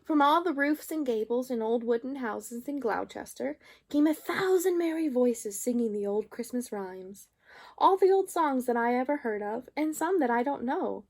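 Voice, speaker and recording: A woman's voice: fairly high pitch, darkish tone. A woman reading a children's storybook aloud expressively, drawing out some phrases.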